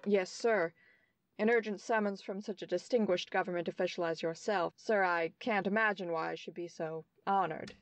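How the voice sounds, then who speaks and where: An actress playing a determined young female detective in an earnest, crisp old-time radio drama style. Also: fairly low pitch, steady tone, moderate pace, no room sound, natural voice. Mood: earnest, respectful.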